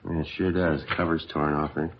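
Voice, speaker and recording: An actor playing a stoic, deadpan police sergeant in a 1950s radio crime drama, polite and clipped. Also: male voice, a bass voice, moderate pace, slight background noise. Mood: businesslike. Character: stoic, businesslike police sergeant narrating his case. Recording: dull old radio recording.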